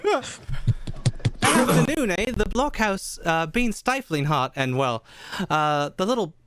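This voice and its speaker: A radio play narrator with a mid-pitched, animated voice, recorded close and dry. The reading halts here and there and often ends sentences on a questioning "eh". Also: no room sound. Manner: halting, adds "eh" to sentences.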